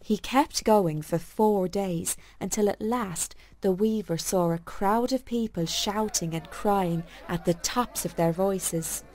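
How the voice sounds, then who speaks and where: A woman narrating a bedtime story for children in a folksy, expressive storytelling manner. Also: mid-range voice, slow pace, few pauses.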